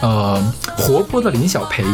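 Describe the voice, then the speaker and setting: A man's voice: mid-range voice, sing-song, a little slow. A man chatting casually about songs as co-host of a music podcast. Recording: music playing behind the talk. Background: music with guitar.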